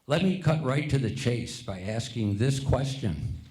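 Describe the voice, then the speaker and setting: A man, a master printer, giving a prepared panel talk in an earnest, deliberate way, now and then stumbling and repeating words. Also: mid-range voice, expressive, a little mellow. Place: museum event room before an audience. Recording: echoey room sound.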